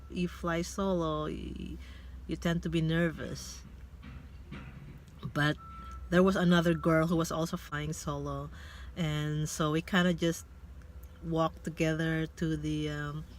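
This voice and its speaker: A woman in her fifties, a Tagalog speaker, telling a personal story in a casual vlog. She talks in a hesitant, reflective way and pauses often as she thinks aloud. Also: low-pitched, slight background noise. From Philippines. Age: 50s. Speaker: vlogger. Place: inside a car.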